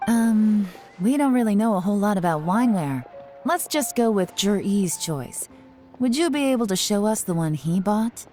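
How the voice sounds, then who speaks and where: An actress playing a cool, shrewd woman investigator in a video game, speaking with calm, measured authority. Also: mid-range voice, close to an everyday voice. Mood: smooth, casual. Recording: music playing underneath.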